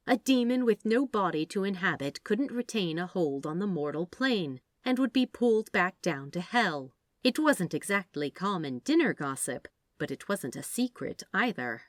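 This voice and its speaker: A woman reading a story aloud as an audiobook narrator, in expressive scripted narration. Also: on the low side, moderate pace, few pauses, no room sound, studio quality. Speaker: audiobook narrator of fan fiction.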